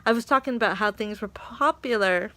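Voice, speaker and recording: Bright-voiced woman vlogging. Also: medium pitch, fairly quick, no room sound. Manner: animated, laughs often, acts out quotes. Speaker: English teaching assistant. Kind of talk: casual vlog storytelling.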